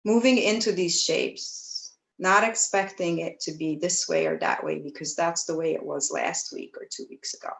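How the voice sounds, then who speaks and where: A woman teaching yoga, guiding breathing and meditation in a gentle, soothing way, with pauses between phrases. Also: on the low side, fairly even intonation, creaky, poor audio. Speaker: yoga teacher leading a class. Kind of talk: guided breathwork and meditation instruction.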